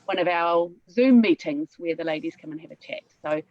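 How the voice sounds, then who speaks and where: A woman speaks as a guest English teacher, friendly and warm, and she keeps calling things lovely. The sound is a dull, close, dry video call line. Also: on the low side, fairly quick, no echo. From New Zealand. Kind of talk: casual self-introduction.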